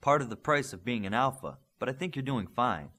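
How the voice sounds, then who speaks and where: A man reads as an encouraging, clever superhero mentor in a storybook. His delivery is friendly, with animated pitch and a husky texture. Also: a little fast. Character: supportive, clever older male superhero mentor.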